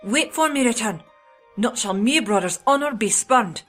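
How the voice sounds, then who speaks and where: A woman's voice: on the high side, lively intonation, normal speed, a bit bright. A woman voicing a noble, earnest thirteen-year-old squire boy in a storybook tale, declaiming in archaic English with music underneath. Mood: resolute.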